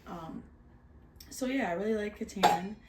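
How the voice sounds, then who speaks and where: A woman with a Canadian accent chats about board games in a loose, candid way, sprinkling "like" through her sentences. Her voice sits at a middle pitch. The recording has a roomy echo. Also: a little slow, heavy background noise. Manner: chatty, candid, lots of "like" fillers. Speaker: co-host of a board game review show. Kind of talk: casual chat.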